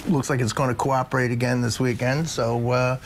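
A man's voice: medium pitch, little pitch movement, fairly quick, slight background noise. Plain-spoken middle-aged chef man. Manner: practical, plain-spoken, explains steps as he cooks. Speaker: guest chef. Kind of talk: cooking demonstration on TV. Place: TV studio kitchen.

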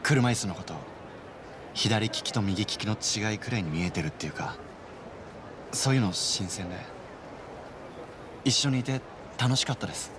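A man's voice: on the low side, moderate pace, pauses often, background noise, close to an everyday voice. A man voicing a modest, sincere young man with polite speech in a video game. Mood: sincere, grateful. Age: young adult. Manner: polite, soft-mannered speech. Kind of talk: Japanese video game voice acting.

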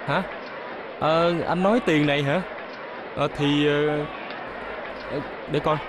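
A man's voice: on the high side, fairly even intonation, slow pace, crowd noise, background noise. A man playing a kind, humble farmer father in a children's cartoon, with a Southern Vietnamese accent and hesitant, stammering delivery. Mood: flustered. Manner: hesitant, stammering with many filler sounds.

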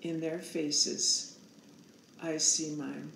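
A woman in her sixties narrating a storybook aloud in a measured, solemn manner. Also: low voice, little pitch movement, vocal fry, a bit bright, reverberant, slight background noise, poor audio. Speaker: author reading own picture book aloud.

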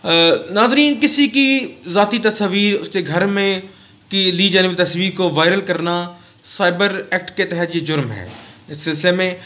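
A middle-aged man from Pakistan giving a formal current-affairs commentary vlog at a desk mic in a small studio, pausing often with "uh". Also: high-pitched, pushed and bright. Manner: measured, formal, with frequent "uh" pauses. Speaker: vlogger and news commentator. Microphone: desk mic on a stand close to the mouth.

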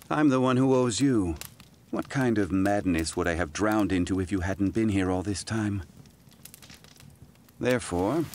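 A low voiced formal cartoon villain, obsessed and grand, music under it. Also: male voice, a little mellow. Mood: earnest gratitude. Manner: formal, theatrical, deliberate. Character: obsessive, imperious, wealthy male supervillain with grand ambitions. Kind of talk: dramatic animated game cutscene acting.

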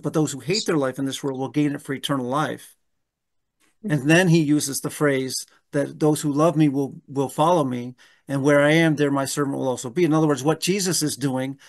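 A gravelly, slightly high-voiced man in his fifties teaches a Bible study over a webcam call from his home. He talks things through aloud, restarting his sentences and checking in with the group with a rhetorical "right?". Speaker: pastor leading a Bible study. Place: room at home. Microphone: webcam on a video call.

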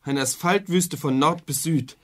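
An actor playing a bitter, appalled son in a radio play, speaking with grim emphasis. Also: male voice, fairly high pitch, measured pace. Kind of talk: German radio play dialogue.

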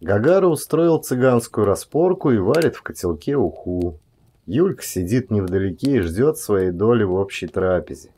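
A man narrating a literary short story as a dramatized audiobook, in a measured, expressive way. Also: lowish voice, slightly rough.

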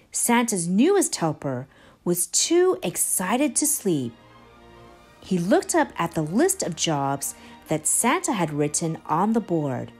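Very slow, animated woman storyteller. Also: medium pitch. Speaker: children's storybook reader, channel regular. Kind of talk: expressive read-aloud narration for young children.

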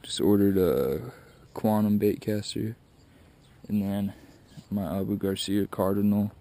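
A man's voice: lowish voice, fairly even intonation, unhurried, mellow. A young man talking casually to an action camera outdoors while fishing, in a plain, matter-of-fact way. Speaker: fishing vlogger. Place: outdoors by a truck and a river at dusk. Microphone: wide-angle action camera held close.

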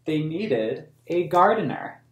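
Slow-paced American man reading to children, roomy echo. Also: a little high, sing-song, a bit bright. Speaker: museum story time host. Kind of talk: reading a picture book aloud to children. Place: small plain room indoors.

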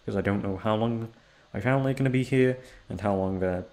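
A young man rambling in a casual chat, swearing often and jumping into high-pitched exclamations. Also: quick pace, darkish tone. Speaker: audio roleplay creator.

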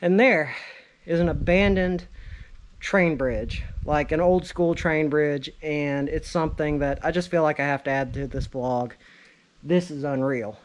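A man's voice: on the high side, a little slow. A man in his thirties narrating a casual exploration vlog outdoors on a handheld camera, talking in an awestruck, exclaiming way. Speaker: vlog host. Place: outdoors in woods around abandoned railway.

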